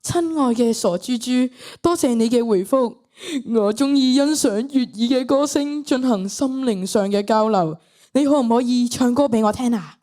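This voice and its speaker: A woman's voice: a little high, moderate pace, mellow, natural voice. A teenage girl with a Hong Kong Cantonese accent playing a florid, over-polite online boyfriend in a student radio drama. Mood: courting. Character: smooth-talking, florid, sugary online boyfriend.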